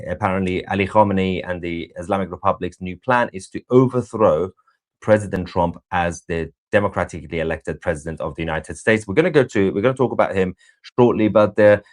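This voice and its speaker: A man co-hosting a live stream, giving unscripted news commentary in a halting way with pauses mid-sentence. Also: low-pitched.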